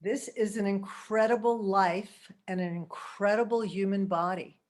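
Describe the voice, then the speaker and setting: A woman's voice: mid-range voice, fairly even intonation, unhurried, a little mellow. A woman in her seventies giving a holistic health talk over a video call, chatty, laughing often and tossing in asides like "okay?". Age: 70s.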